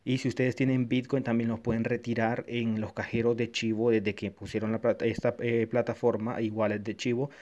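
A man's voice: mid-range voice, flat delivery, a little fast, hardly any pauses, darkish tone, dull audio. Hesitant Honduran man reviewing an app. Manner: hesitant, many fillers and restarts. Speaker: tech reviewer. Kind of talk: screen-recorded review walkthrough.

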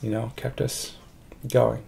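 A man in his forties speaking haltingly and reflectively in a documentary interview. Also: mid-range voice, monotone, creaky, dark tone, slight background noise. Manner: halting, hesitant delivery with long pauses. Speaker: adoptive parent, interviewee. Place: room at home, indoors.

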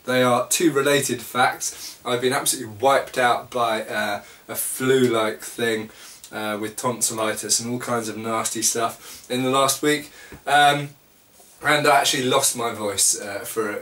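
A man's voice: mid-range voice, a little slow. Congested British man in an echoey room. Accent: British English. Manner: relaxed, explanatory, with many fillers. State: congested, recovering from lost voice. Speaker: channel host. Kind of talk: casual talk to camera. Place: small room indoors. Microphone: camera mic at a short distance. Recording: echoey room, slightly distant mic.

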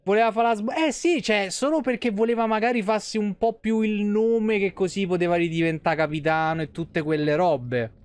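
High-pitched, clear man streaming. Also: moderate pace, hardly any pauses. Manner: slangy, sweary, sarcastic. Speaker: game streamer. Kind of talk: live gameplay commentary, casual chat. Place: small room at home, indoors.

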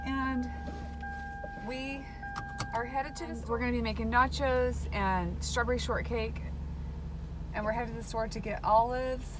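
A chatty woman in her thirties vlogs into a handheld phone, rambling from thought to thought in an upbeat way. Her voice sits a little high, and music plays clearly under her talk. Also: fairly even intonation, measured pace, pauses often. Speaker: family vlogger. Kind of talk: casual vlog chat. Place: car, shop and home kitchen. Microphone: handheld phone camera.

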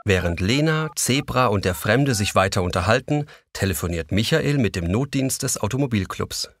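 A man's voice: fairly low pitch, expressive, moderate pace, a little hiss or hum. A man narrating a scripted children's story as the narrator of an audio drama.

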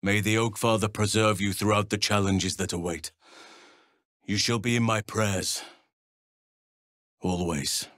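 An actor voicing a wise, gentle and grave archdruid in a fantasy video game, with measured, formal and thoughtful phrasing. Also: male voice, lowish voice, fairly even intonation, dry recording, studio quality. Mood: calm, reflective.

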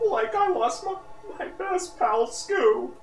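A lanky cartoon slacker, forever frightened. The voice is extremely high and whiny, cracking as it stammers in a slow drawl. It sits in a roomy echo with faint music behind. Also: male voice, lively intonation, distant mic, a little hiss or hum, full character voice. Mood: anxious, pleading. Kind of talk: cartoon comic dub.

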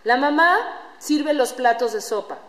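A woman's voice: high-pitched, lively intonation, measured pace. A woman reading scripted storytelling narration for a radio play. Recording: echoey hall with room noise.

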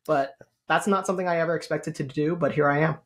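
A man in his thirties with a squeaky voice, a guest speaking earnestly and politely on a podcast over a video call. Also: steady tone, fast, fairly bright tone, husky. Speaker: guest YouTuber. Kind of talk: casual interview chat. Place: home room with gaming chair.

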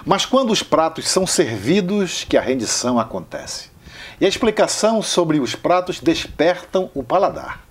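A man narrating a travel show about local food in a measured, descriptive way. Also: fairly high pitch, big pitch swings, slight background noise.

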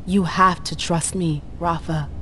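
Low-voiced, determined vampire envoy. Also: female voice, steady tone, unhurried, a bit bright, a little hiss or hum. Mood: earnest, reassuring. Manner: assertive, blunt, earnest. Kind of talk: video game cutscene acting.

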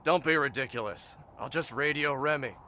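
An actor playing a blunt, hot-tempered stranded space soldier in an audio drama, combative and defiant. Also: male voice, mid-range voice, normal speed, pushed and bright, natural voice. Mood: demanding.

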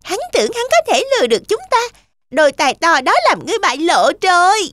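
A woman's voice: very high-pitched, sing-song, quick pace, very noisy. A woman voicing a bold, observant little girl goat kid in a children's cartoon dub. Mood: gloating.

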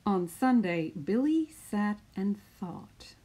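A woman in her fifties, a teacher, speaking to pupils and reading a children's picture book aloud with deliberate, emphatic phrasing. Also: medium pitch, lively intonation, warm tone. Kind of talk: children's storybook read-aloud.

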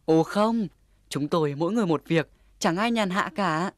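Touchy, earnest hedgehog for a kids' cartoon actor voicing: mid-pitched, sincere, dry recording. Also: moderate pace, no room sound, natural voice. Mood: earnest, modest. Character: hardworking, earnest, easily offended young hedgehog. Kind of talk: Vietnamese children's cartoon acting.

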